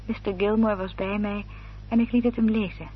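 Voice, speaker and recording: A woman playing a resolute, guarded Victorian gentlewoman in a Dutch radio drama, speaking in a measured, formal, articulate way. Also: mid-range voice, fairly even intonation, natural voice. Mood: composed, narrating. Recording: dull old radio recording, hum and noise.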